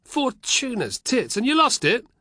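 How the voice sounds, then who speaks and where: A curt Roman guard fires off questions and jibes, as a male narrator puts him on. His voice sits high and loud, blunt and teasing, in a dry, close recording. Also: wildly swooping pitch, unhurried, dry recording, full character voice. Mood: mocking. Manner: curt, mocking. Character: blunt mocking male Roman gate legionary.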